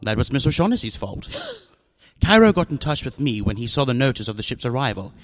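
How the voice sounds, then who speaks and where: A male actor plays an older crime boss in a radio thriller. He is jovial but cunning, with a mid-pitched voice and pompous, florid, theatrical phrasing. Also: wildly swooping pitch, fairly quick. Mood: matter-of-fact. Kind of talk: radio drama acting.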